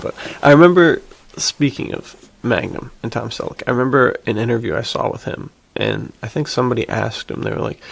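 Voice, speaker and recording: A man's voice: medium pitch, vocal fry, slightly rough. A man hosting a podcast, chatting casually in informal, slangy language like he is among friends. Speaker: podcast host. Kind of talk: casual banter between friends.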